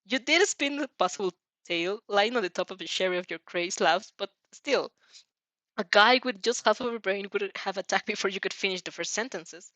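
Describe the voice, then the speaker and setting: A woman's voice: medium pitch, a little fast, a bit bright, dry recording. A woman reading fan fiction aloud with drama, often stumbling over words and breaking into giggles. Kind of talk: animated dramatic reading of a story with giggly asides.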